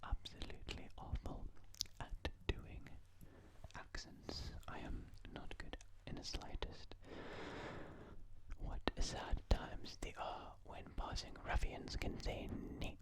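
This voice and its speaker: Slow, hushed ASMR whisperer. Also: poor audio. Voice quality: breathy unvoiced whisper. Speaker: ASMR host. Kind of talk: whispered ASMR quote reading.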